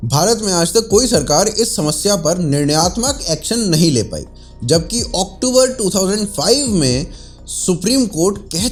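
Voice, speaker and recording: High voiced guy narrating news, super lively, bit of music under it.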